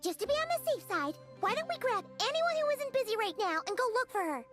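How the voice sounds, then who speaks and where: Squeaky, very high fairy girl. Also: sing-song, fast talker, loud music behind the voice. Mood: concerned. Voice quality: squeaky, childlike. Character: small chirpy floating fairy companion girl, curious, childlike. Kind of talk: video game voice acting.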